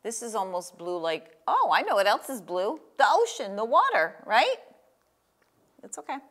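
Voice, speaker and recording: A woman's voice: a little high, big pitch swings, moderate pace, a bit bright. An older woman hosting a children's story time and craft, speaking in simple, encouraging phrases and questions to a young child. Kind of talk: reading aloud and guiding a child through a craft. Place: small indoor room with table. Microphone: lapel.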